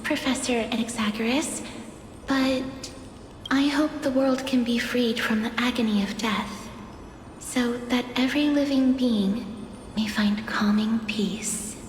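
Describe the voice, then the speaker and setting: An actress playing a solemn young female student in a video game, speaking gently and modestly. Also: a little high, steady tone, a little slow, close to an everyday voice. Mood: gentle, hopeful. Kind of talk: dramatic video game voice acting. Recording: echoey long reverb with music behind.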